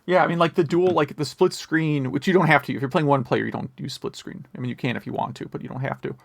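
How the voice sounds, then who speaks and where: A man's voice: on the high side, fairly even intonation, fast talker, vocal fry, no room sound, clean studio sound. A man hosting a podcast, chatting casually about the news and wandering into conversational asides. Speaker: podcast host. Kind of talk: casual retro gaming news chat.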